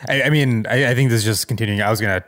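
A man co-hosting a podcast in casual chat, thinking aloud in a tentative, halting way. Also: fairly low pitch, a bit bright. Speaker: podcast co-host.

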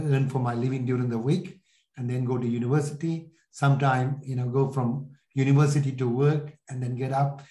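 A man in his sixties with a Sri Lankan accent speaks in a relaxed, mellow tone. He recounts his experiences reflectively, often pausing to rephrase. The sound is noticeably echoey, as in a live room. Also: medium pitch. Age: 60s. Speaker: podcast guest, entrepreneur. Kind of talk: conversational interview, telling life story.

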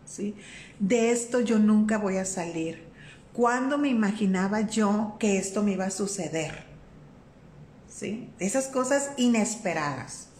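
A Mexican woman hosts a faith-based live stream and talks to her viewers in a warm, conversational way. She greets people by name and keeps checking in with them. Her voice bounces around a roomy space, with faint room noise underneath. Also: medium pitch, expressive, a little slow. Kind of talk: casual live-stream talk on faith and life.

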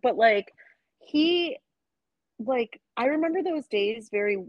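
A woman's voice: fairly high pitch, slow pace, no echo, dull audio. A woman, hosting a podcast in a frank, chatty way, full of "like". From United States. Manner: chatty, lots of "like", animated and frank. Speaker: podcast host. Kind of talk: casual chat.

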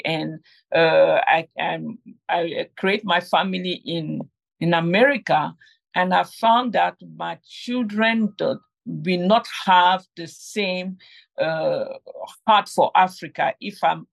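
Gravelly woman, hesitant. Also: lowish voice. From Cameroon. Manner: hesitant, many fillers and restarts. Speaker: guest, game inventor. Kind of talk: conversational interview.

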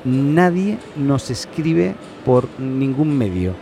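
A man from hosts a casual chat, chiming in often. His voice sits at an ordinary pitch, with faint noise behind. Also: expressive, takes it slowly, creaky, dark tone. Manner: chatty, interjecting host. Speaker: host.

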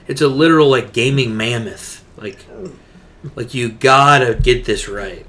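A man's voice: mid-range voice, unhurried, sharp tone. A man co-hosting a laid-out, casual chat about video games, talking like an enthusiastic fan with lots of filler "like". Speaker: podcast co-host.

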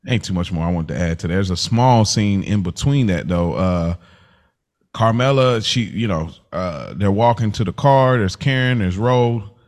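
Male podcast host, low pitch, casual recap, slangy informal storytelling. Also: expressive, moderate pace, darkish tone.

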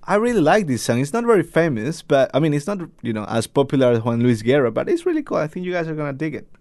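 A man's voice: on the high side, sing-song, fast talker, a little mellow, dry recording. A man from Ecuador, an easygoing radio host interviewing a guest and introducing songs.

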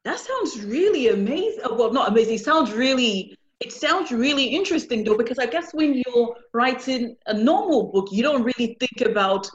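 A woman's voice: a little high, animated, moderate pace, few pauses. A woman hosting a casual conversational interview, asking questions and restating the answers to sum them up. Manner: repeats and sums up the guest's points. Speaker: podcast host, interviewer. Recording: slightly dull lo-fi sound.

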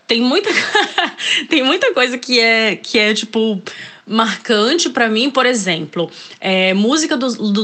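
A woman's voice: medium pitch, fairly bright tone. A woman from Ceará with a Northeastern Brazilian accent, a guest chatting casually in an interview, hesitating often and restarting her words. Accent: Northeastern Brazilian Portuguese. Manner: hesitant, many fillers and restarts. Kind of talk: casual interview, reading passages aloud.

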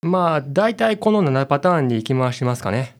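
A man's voice: on the high side, lively intonation, moderate pace. A man playing an easygoing, slightly puzzled young client with a Kansai accent, in conversational radio drama style. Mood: polite.

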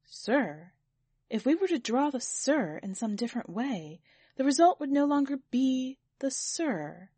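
A woman's voice: high-pitched, very animated, unhurried, warm tone, husky, no room sound, pitched above the performer's own voice, exaggerated voice. A seasoned painter in a storybook tale, read by a woman, nervous before a superior yet frank. The words come carefully and respectfully, in a dry recording. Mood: wary, frank.

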